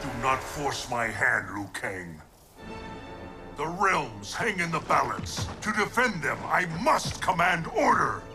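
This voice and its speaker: A commanding thunder god of a fighting game, stern and distrustful. His slightly high male voice moves dramatically up and down in formal, imperious phrases, with loud music behind. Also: big pitch swings, takes it slowly. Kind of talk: video game cutscene acting. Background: loud cinematic music.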